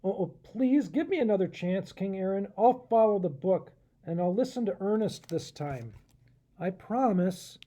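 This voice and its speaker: A man voicing an excitable, reckless young raccoon inventor in an eager storybook read-aloud. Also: high voice, normal speed. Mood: pleading. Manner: eager, exclamatory.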